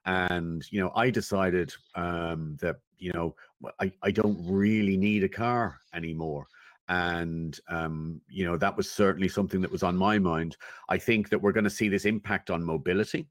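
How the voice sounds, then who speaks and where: Guy with a low deep voice, thoughtful interview answers with pauses, really dry sound. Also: steady tone, dry recording. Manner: thoughtful, measured, halting with pauses. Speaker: interview guest, technology commentator. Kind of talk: conversational interview, giving considered opinions.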